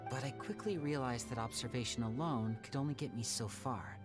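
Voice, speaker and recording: An actor playing a young male magician with secrets, speaking in a soft, reflective, measured game-dialogue style. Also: medium pitch, close to an everyday voice. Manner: soft-spoken, reflective, measured theatrical delivery. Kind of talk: scripted dramatic game dialogue. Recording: music playing under the voice.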